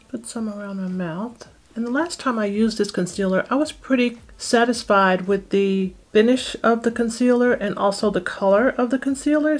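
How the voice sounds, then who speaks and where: A middle-aged woman casually talking viewers through a makeup tutorial step by step, in an explanatory way. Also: medium pitch. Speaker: beauty vlogger.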